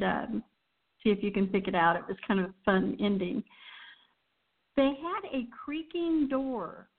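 A woman hosts a radio show with casual, enthusiastic chat. Her voice sits at an average pitch and comes through thin and phone-like. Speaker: show host. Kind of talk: casual chat introducing old radio programs. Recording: tinny, phone-like band-limited sound.

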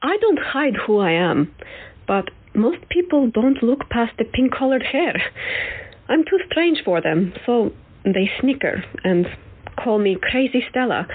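A woman playing a frank, unconventional woman doctor who talks bluntly and candidly with a slightly husky voice. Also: a little high, full character voice. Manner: blunt, candid, lightly teasing. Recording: tinny phone-like audio.

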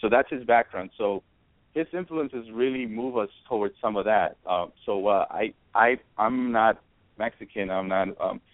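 A man from Ecuador talks as a musician guest on a radio show. His voice is low and comes over a thin, phone-like line with a dry sound. He rambles and hesitates, restarting his sentences often. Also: normal speed, dry recording. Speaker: musician, band founder.

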